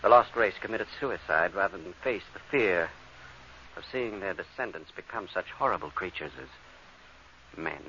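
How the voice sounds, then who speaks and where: A man's voice: low voice, expressive, moderate pace. An actor playing an intense spaceship crewman explaining mysteries in a dramatic 1950s radio style. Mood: somber revelation. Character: urgent, explaining spaceship crewman who pieces together mysteries. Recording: dull, slightly noisy vintage radio recording.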